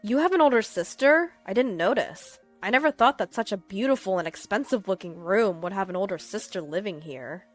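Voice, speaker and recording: A woman's voice: expressive, fairly quick, few pauses, soft background music, natural voice. A woman voicing a mean, snide younger sister who mocks and bosses her sibling. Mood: mocking. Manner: mocking and bossy, shrill when upset.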